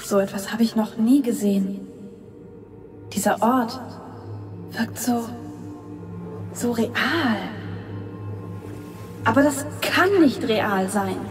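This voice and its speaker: Lost confused android girl, highish voice, kinda far off. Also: takes it slowly, long pauses, music in the background. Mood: awed. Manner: bewildered, hesitant. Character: confused young android woman, a copy. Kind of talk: audio drama acting.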